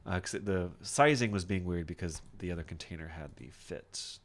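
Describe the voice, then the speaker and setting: A man teaching software in a casual screen-share tutorial, thinking aloud informally as he demonstrates each step. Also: low voice, moderate pace. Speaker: software instructor.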